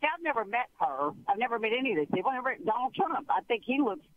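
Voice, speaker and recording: Woman, caller, rambling and halting, lively high voice, phone-line sound. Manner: halting, rambling, interrupts herself. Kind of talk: call-in radio conversation.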